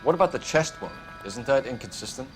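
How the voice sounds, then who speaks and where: A man's voice: mid-range voice, animated, fairly quick, lightly acted. An actor playing an earnest, driven police detective in a crime drama game, clipped and authoritative as he questions and instructs. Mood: businesslike. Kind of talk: scripted crime drama acting. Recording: music behind the voice.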